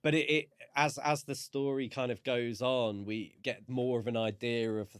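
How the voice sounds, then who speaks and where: A man's voice: mid-range voice, steady tone, moderate pace, clear, resonant tone, dry recording, studio quality. A man co-hosting a podcast, talking casually and thoughtfully about a book. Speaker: podcast co-host.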